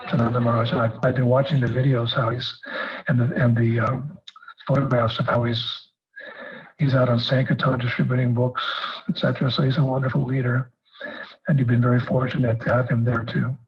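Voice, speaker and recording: A man giving a recorded video greeting, speaking haltingly with frequent fillers and restarts. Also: mid-range voice, breathy edge, muffled recording. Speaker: guest speaker in a video message.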